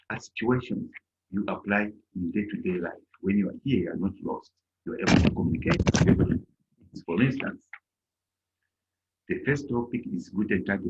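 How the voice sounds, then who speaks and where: A middle-aged man teaching a German lesson to an online class, acting out little dialogues in a raised voice. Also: on the low side. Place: indoor room on a video call. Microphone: laptop mic on a video call. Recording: lo-fi video call audio.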